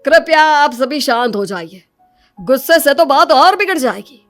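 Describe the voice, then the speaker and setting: A woman voicing a polite, wise priest who gently admonishes in a storybook tale. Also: high voice, animated, measured pace, faint music behind, pitched above the performer's own voice. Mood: calming advice.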